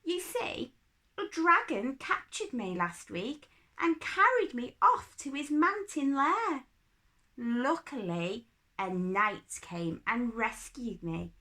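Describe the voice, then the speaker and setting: An imperious little storybook princess, acted out by a woman reader, airy and fanciful. She sounds haughty and emphatic, with a bright, animated voice that leans high. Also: slow pace, full character voice. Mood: brisk, boastful.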